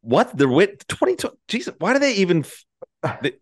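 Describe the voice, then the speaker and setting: A man's voice: sits high, sing-song, fairly quick. A man hosting a podcast in casual chat, with an irreverent, sweary way of talking. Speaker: podcast host.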